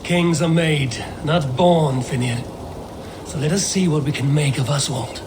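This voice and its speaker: A man's voice: fairly high pitch, a little slow, noisy background, lightly acted. A man playing a wry, commanding Viking warrior leader with an assured, goading manner in a video game scene. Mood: stern, challenging. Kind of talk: video game cutscene acting.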